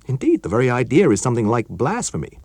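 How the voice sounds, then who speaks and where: A man's voice: mid-range voice, huge pitch swings, quick pace, a little mellow, dry recording, voice pushed up. A man reading a story, voicing an urgent, nervous young male technician in a raised, jumpy voice.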